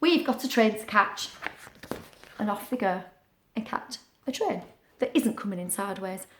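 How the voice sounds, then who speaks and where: A woman reading a picture book aloud to young children, expressive, with chatty asides and questions. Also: mid-range voice, normal speed, a little hiss or hum. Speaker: children's story reader. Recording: roomy, echoing sound.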